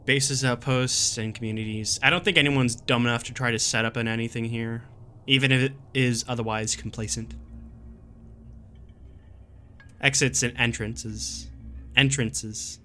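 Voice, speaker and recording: A man playing a jaded, gruff, exasperated wanderer recording a survival warning, in a sardonic and emphatic dramatic horror reading. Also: mid-range voice, fairly even intonation, moderate pace, bright, forceful tone, soft background music, natural voice. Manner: sardonic, emphatic, colloquial grumbling.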